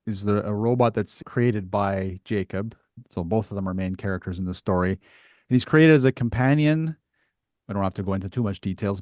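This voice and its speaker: A man's voice: on the low side, darkish tone, no echo, clean studio sound. A man hosting a conversational interview in a reflective way, often turning statements into questions. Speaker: podcast host.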